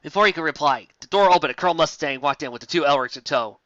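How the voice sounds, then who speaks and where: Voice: medium pitch, racing, a bit bright, no echo, dull audio. A theatrical, exasperated host giving a comic dramatic reading of fan fiction, with asides.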